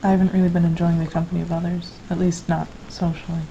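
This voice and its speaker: A woman playing a gentle, wistful ex-lover in an audio drama, soft-spoken and hesitant, on a dull lo-fi microphone with faint noise. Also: fairly low pitch, little pitch movement, fast talker, creaky. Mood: wistful, concerned. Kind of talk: scripted audio drama dialogue.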